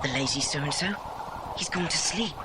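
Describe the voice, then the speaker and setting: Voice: medium pitch, expressive, normal speed, close to an everyday voice. A performer with a British accent playing a practical, watchful schoolboy in a children's audio adventure, with proper old-fashioned phrasing. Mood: hushed, determined. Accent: British English. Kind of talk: dramatised children's audiobook acting. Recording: noisy audio drama effects.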